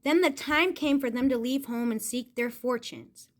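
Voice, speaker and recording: A woman's voice: high voice, moderate pace. A woman storyteller narrating expressively, as in a puppet show for children.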